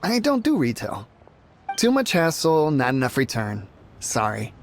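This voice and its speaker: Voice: mid-range voice, huge pitch swings, unhurried, gravelly, slight background noise, exaggerated voice. An actor playing an evasive shopkeeper who hedges curtly before caving in, in video game voice acting. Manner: curt, hedging, then flustered.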